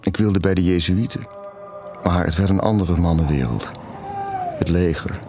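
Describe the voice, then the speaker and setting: An actor playing a middle-aged ex-military father in an audio drama, awkward and remorseful, halting as he searches for words. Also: sits low, over music. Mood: reminiscing. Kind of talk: audio drama monologue and dialogue.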